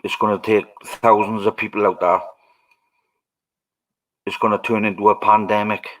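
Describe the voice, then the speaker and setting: Welsh man, livestream host, lowish voice, easygoing rambling chat to viewers. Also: flat delivery, moderate pace, a bit bright, low-quality recording. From Wales. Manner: rambling, musing aloud to viewers.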